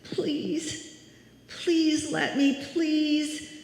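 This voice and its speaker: A woman's voice: high-pitched, little pitch movement, very slow, darkish tone, heavily acted. A woman acting a frightened woman alone at night, talking to herself in a live old-time radio suspense play. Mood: desperate pleading. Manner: breathless pleading and self-soothing, theatrical. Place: live stage performance in a hall. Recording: echoey live hall, music behind.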